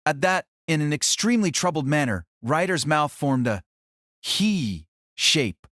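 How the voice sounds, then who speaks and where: Higher-voiced male narrator, very dry sound. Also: big pitch swings, measured pace, fairly bright tone, no echo. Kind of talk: scripted dramatic narration of a fantasy novel.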